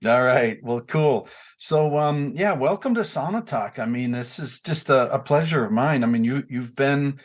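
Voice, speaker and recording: A man of sixty hosts a relaxed podcast conversation. His pitch sits at an ordinary middle level, and he rambles with plenty of fillers, false starts and repeated words as he warms up to his guest. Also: moderate pace, few pauses. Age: 60s. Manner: rambling, many fillers and restarts. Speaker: podcast host. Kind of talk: casual podcast chat.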